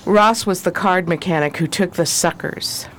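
A shrewd, steely adventuress played by a woman in a 1920s radio drama. She is commanding and slangy, with a somewhat low voice. The recording has faint background noise. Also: moderate pace, a bit bright, close to an everyday voice. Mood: confident.

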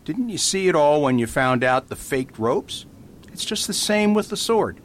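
A man playing a gentle whimsical priest detective. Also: on the high side, sing-song, moderate pace, a little hiss or hum. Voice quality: mild, patient, whimsical. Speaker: Father Brown. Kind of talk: amateur radio drama acting.

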